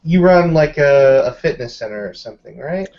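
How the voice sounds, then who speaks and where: A man's voice: fairly high pitch, animated, moderate pace. Frank, skeptical werewolf woman played by a man. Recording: dull lo-fi audio.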